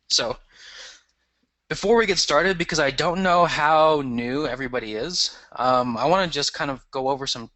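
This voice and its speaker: A man's voice: mid-range voice, sharp tone, dry recording. A man with a cold giving a casual webinar lesson over slides, explaining terms with fillers and restarts. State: has a cold. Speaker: guest expert teaching a webinar.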